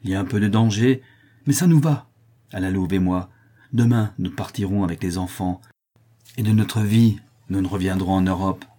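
A man's voice: low voice, normal speed, mellow. A man voicing the anguished, honest son of a criminal mother, pleading and indignant, in a dramatic story reading. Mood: firm indignation.

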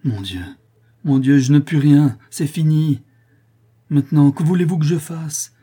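Audiobook role: tormented decent son, compassionate yet indignant, dark husky voice, done by a male reader. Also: lowish voice, normal speed. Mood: anguished despair. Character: anguished, honest son of a condemned criminal mother.

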